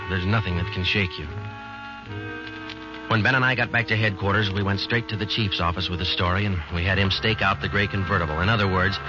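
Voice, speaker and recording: An actor playing a stoic, businesslike police sergeant in a 1940s radio crime drama, talking in terse, matter-of-fact phrases. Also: male voice, fairly low pitch, close to an everyday voice. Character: stoic, businesslike male police sergeant, narrating his cases. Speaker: detective and narrator. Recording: dull old radio recording, loud music.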